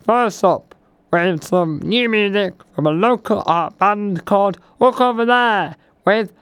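A chirpy, nervous older DJ with a high, smooth voice that is going hoarse, as a man puts him on. He trips over his words and corrects himself, taking his time on a comic radio show. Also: measured pace, a bit bright, no room sound. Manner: nervous, stumbling, self-correcting. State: losing his voice. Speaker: radio host.

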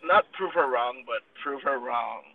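A man's voice: medium pitch, unhurried, narrow, tinny audio. Easygoing young man on a distant mic. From Hawaii. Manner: relaxed, filler-heavy, easygoing. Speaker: guest, language teacher. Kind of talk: casual conversation. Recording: distant mic with clear background noise.

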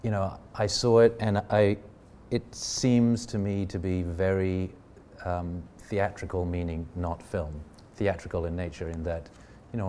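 A man's voice: deep voice, warm tone, slight background noise. Eager, chatty male interviewer. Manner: eager and chatty, stumbling over words. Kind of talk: conversational interview.

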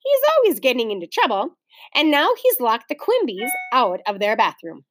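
A woman's voice: a little high, wildly swooping pitch, moderate pace. A woman voicing an exasperated older sister, a young girl in a storybook, impatient and dismissive.

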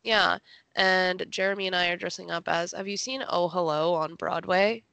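A young woman co-hosts a casual podcast in a chatty, informal way. Her voice sits a little low and is thick with morning gravel. The sound is dry and close. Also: fairly even intonation, moderate pace, bright, forceful tone, dry recording. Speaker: podcast co-host. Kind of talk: casual chat.

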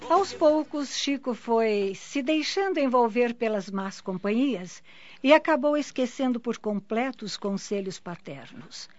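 A woman narrating a scripted radio drama in a measured storytelling manner. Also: on the high side, expressive. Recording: dull lo-fi audio.

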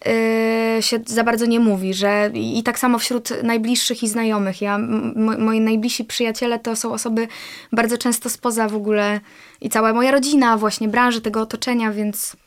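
A woman, an actress guest in a casual interview, talking in a chatty, reflective way with frequent pauses. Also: fairly high pitch, little pitch movement.

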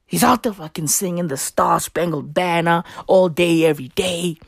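A woman's voice: lowish voice, moderate pace, vocal fry, breathy edge, no room sound, studio quality. A woman from southern Africa, a native Tswana speaker, doing a rambling, profane comic rant full of slang. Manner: profane, rambling, animated slang-heavy delivery. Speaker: comedian. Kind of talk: stand-up style comedic rant.

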